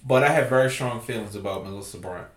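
A man hosting a casual podcast, talking in a loud, profane, joking way. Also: fairly low pitch, fast talker, reverberant. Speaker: podcast host.

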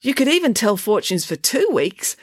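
A woman's voice: mid-range voice, sing-song, moderate pace, no room sound, studio quality, pitched above the performer's own voice. A woman voicing an eager, inquisitive young boy in a children's story.

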